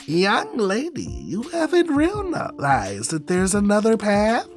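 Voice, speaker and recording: A man voicing a gentle, cryptic old woman merchant in a visual novel, slow and wise. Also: high-pitched, wildly swooping pitch, soft background music, voice pushed up, full character voice. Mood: gently cryptic.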